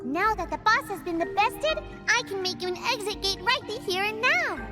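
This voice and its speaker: A tiny chirpy creature squeaks her helpful lines in a very high, bouncing voice that garbles her words. Game music plays clearly under her. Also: lively intonation, normal speed. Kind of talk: video game voice acting.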